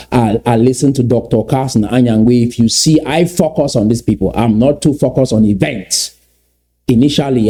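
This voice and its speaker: Middle-aged man, Cameroonian English accent, warm low timbre, lecturing commentator who poses rhetorical questions. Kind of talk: commentary on played clips.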